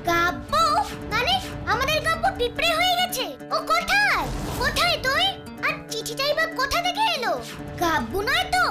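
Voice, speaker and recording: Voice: very high-pitched, sing-song, normal speed. A performer voicing a plucky, caring child ghost in a children's cartoon, eager and exclamatory. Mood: alarmed, curious. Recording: children's music behind.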